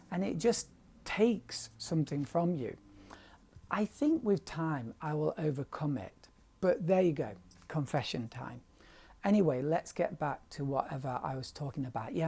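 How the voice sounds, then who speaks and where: A man's voice: medium pitch, gravelly, darkish tone, husky. A middle-aged English man with a dry voice, rambling hesitantly and self-deprecatingly in a confessional vlog about his painting. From England. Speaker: painter vlogger.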